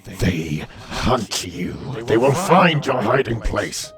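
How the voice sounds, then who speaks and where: Brief: male actor as ancient scheming entity, low and very slow, swinging melodically, urging conquest in a fantasy audiobook. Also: sing-song, music in the background. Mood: ominous urging. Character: ancient scheming power-hungry entity inside a man's mind.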